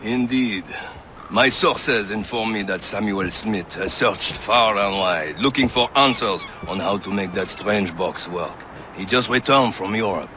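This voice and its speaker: A genial gentleman informant voiced by a man for a game. He speaks in a lowish voice and takes his time, measured and courtly. Clear ambient noise sits behind him. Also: close to an everyday voice. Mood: genial, sly. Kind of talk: video game cutscene acting.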